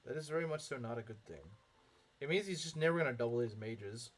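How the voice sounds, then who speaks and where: Lively, rambling young man streamer. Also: medium pitch, quick pace. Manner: rambling, heavy filler words, frequent chuckles. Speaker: game streamer. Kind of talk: casual live-stream commentary reading chat.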